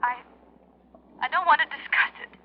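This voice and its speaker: A woman's voice: high voice, normal speed, lightly acted. An actress playing an indignant, haughty woman in a 1940s radio mystery. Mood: reproachful. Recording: muffled, lightly noisy 1940s radio recording.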